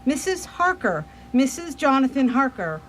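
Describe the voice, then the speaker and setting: A woman's voice: sits high, big pitch swings, normal speed, a little hiss or hum. A woman playing an anxious, devoted young wife in a radio play, genteel and pleading. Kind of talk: radio theatre acting.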